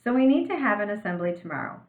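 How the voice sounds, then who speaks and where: A woman's voice: medium pitch, very animated, lightly acted. Storybook principal, female, voiced by a woman reader: stern, decisive, authoritative, in an echoey, muffled room. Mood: firm. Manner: measured, authoritative.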